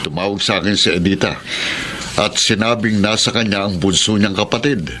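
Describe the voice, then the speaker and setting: An actor playing a concerned middle-aged elder brother in a radio drama, earnest and chiding. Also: male voice, fairly low pitch, normal speed, sharp tone, slight background noise. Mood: admonishing.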